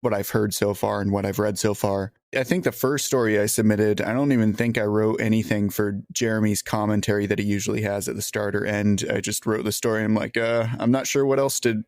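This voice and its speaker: A man's voice: on the low side, fairly even intonation, fairly quick, few pauses, a little mellow, no room sound. A man chatting casually in an interview as a podcast writer.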